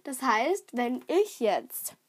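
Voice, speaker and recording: A woman's voice: sits high, big pitch swings, slow pace, breathy edge. A young woman with a cold and a slightly stuffy voice, chatting casually and rambling on her solo podcast with lots of fillers. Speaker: podcast host.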